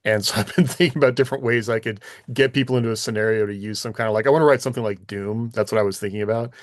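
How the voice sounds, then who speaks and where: A man's voice: lowish voice, steady tone, racing, dry recording, studio quality. A man co-hosting a podcast, talking in a casual, conversational way about his thoughts. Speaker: podcast co-host and author. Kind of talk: casual chat.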